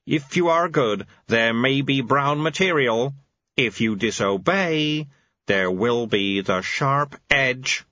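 A man's voice: animated, slow pace, dull audio. A man voicing a cold, authoritarian leader who gives clipped orders. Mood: commanding. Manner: clipped, authoritarian, shrill when shouting. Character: cold, commanding leader of grey beings.

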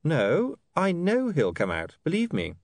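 A defiant, sharp-tongued young man who fires back quick retorts, as a man voices him in a storybook reading. His voice runs a little high and lively, and the recording is dry. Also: no echo, full character voice.

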